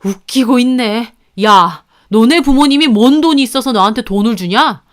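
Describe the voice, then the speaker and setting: An irritable husband acted by a woman in a story drama, high and clear in voice. He is contemptuous and accusing, throwing out belittling complaints. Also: normal speed, natural voice. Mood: scornful. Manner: accusing, scornful.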